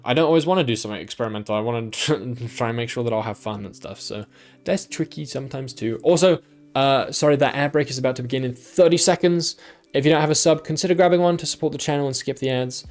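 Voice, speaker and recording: Young adult man, mid pitch, casual rambling streamer, filler-heavy delivery, faint music bed. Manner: rambling, many ums and restarts. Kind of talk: casual livestream chat.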